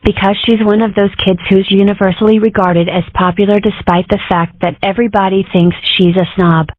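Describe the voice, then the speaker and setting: A woman's voice: mid-range voice, flat delivery, brisk, no room sound, natural voice. Phone-like woman as a curious cartoon girl. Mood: scheming, musing. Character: inquisitive, dramatic young cartoon girl. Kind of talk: comic strip dub. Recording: muffled phone-like audio.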